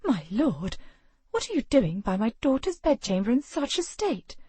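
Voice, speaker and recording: Brief: a woman playing an anxious titled mother, shrill and fretful. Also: big pitch swings, moderate pace, warm tone, breathy edge, dry recording. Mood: alarmed. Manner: alarmed and fretful. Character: anxious, doting aristocratic mother.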